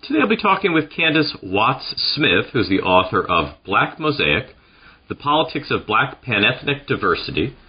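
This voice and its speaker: A man's voice: mid-range voice, sing-song, normal speed, breathy edge, lo-fi sound. A man hosting an interview podcast, speaking in a polite, conversational way.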